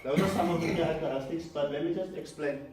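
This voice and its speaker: A man's voice: on the high side, fast talker, darkish tone. A man with a South African accent, Afrikaans his first language, giving a live seminar to a room, chatty with many fillers and questions to the audience. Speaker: presenter. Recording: echoey room, mic far off, faint background noise.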